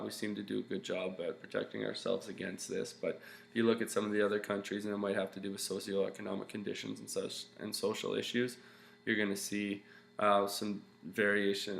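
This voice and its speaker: A man's voice: fairly low pitch, gravelly, fairly bright tone. A young man with a congested, nasal voice, giving a recorded slideshow lecture as a teacher and talking loosely with lots of fillers and "okay"s. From Canada. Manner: loose, explaining with many fillers and okays. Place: small room at home, indoors. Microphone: webcam. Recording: echoey room with faint hum.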